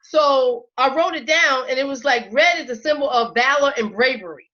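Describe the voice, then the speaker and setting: Woman, slightly high voice, frank, hesitant, lo-fi recording. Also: moderate pace, fairly bright tone. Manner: frank, hesitant, many fillers. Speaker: panelist. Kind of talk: casual panel discussion.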